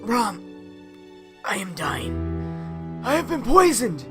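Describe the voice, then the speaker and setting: Guy acting out a poisoned old-tale king on his deathbed, slow n halting, pitched up, solemn pleading. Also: big pitch swings, music in the background, full character voice. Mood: grave, pleading.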